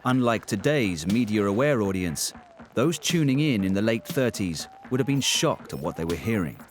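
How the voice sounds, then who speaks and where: A man reading scripted documentary narration in a measured, formal way. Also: on the low side, big pitch swings, soft background music.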